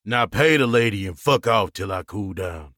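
A man's voice: animated, normal speed, a bit bright, no echo, clean studio sound. A man growling as a crude outlaw gunfighter. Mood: gruff. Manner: gruff, blunt, low growl. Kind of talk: audiobook character voice.

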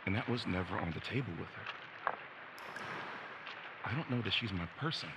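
A man's voice: lowish voice, expressive, moderate pace. An actor playing a conflicted, self-deprecating young man in an audio drama, talking hesitantly and naturally. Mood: wistful, reflective. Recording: loud outdoor ambience noise.

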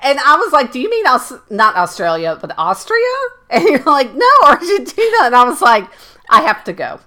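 A woman's voice: sits high, big pitch swings, moderate pace, pushed and bright. A woman co-hosting a podcast in casual chat, teasing her friend and giggling often. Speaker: podcast co-host. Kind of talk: casual chat about movies and shows.

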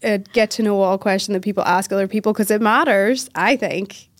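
A woman's voice: medium pitch, brisk, no room sound. A woman in her thirties from Northern Ireland with an Irish accent blended with American, a friendly interview guest who laughs easily. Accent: Northern Irish mixed with American. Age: 30s. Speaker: guest, company founder. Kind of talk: casual interview chat.

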